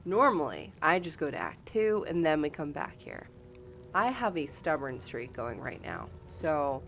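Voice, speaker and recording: A woman's voice: lowish voice, slight background noise. A woman giving casual, chatty live game commentary, talking informally to viewers and to the characters. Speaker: live streamer.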